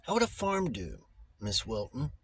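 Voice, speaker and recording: A man voicing a polite, agreeable well-to-do gentleman in an audiobook, courteous and decisive. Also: medium pitch, huge pitch swings, normal speed, slight background noise. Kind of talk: audiobook character voice.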